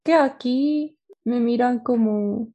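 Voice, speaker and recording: A woman's voice: on the high side, slow pace, dark tone, lo-fi sound. A woman with a Mexican accent, a native Spanish speaker, chatting casually and hesitantly as she searches for words. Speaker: interviewee. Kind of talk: casual chat.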